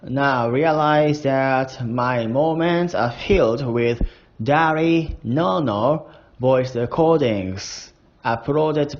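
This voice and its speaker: Slow, tipsy Japanese-accented man. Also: on the high side. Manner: playful, chuckling, slow and deliberate. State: drunk. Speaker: language learner. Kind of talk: casual voice diary monologue. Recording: dull lo-fi recording.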